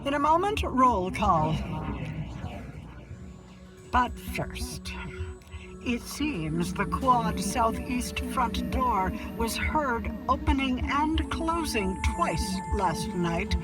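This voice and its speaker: An actress playing a strict nun schoolmistress in a radio drama, speaking formally and severely in clipped commands. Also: female voice, a little high, expressive, very slow, over loud music, rough recording. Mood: stern. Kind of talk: cinematic radio drama acting.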